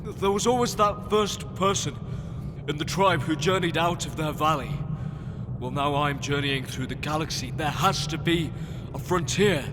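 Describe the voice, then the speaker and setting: A man's voice: fairly high pitch, moderate pace, background noise, close to an everyday voice. A man playing an ambitious, idealistic space explorer in a sci-fi audio drama, with an earnest, emotional and dramatic delivery. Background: music.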